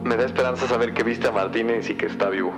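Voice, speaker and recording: Actor as a fast-talking police tech guy. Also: medium pitch, steady tone, lightly acted. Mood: reassuring. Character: supportive male police tech colleague on the radio. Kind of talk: audio fiction acting. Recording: loud dramatic music behind.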